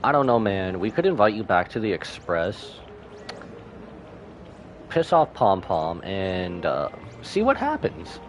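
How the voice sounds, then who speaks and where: A man's voice: sits low, huge pitch swings, slow. A man livestreaming a game, giving playful commentary and reading dialogue aloud. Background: faint game music.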